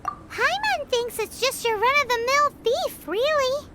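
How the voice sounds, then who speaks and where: Game sidekick: tiny chirpy fairy girl, extremely high and squeaky, swooping wildly, cheeky and exclamatory. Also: expressive, a little slow. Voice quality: squeaky, childlike. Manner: exclamatory, refers to herself by name. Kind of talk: scripted video game dialogue.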